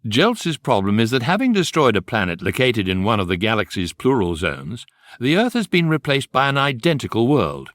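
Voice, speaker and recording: An actor playing a wry, erudite guidebook narrator in a sci-fi radio comedy. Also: male voice, mid-range voice, normal speed, no echo. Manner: wry, deadpan irony.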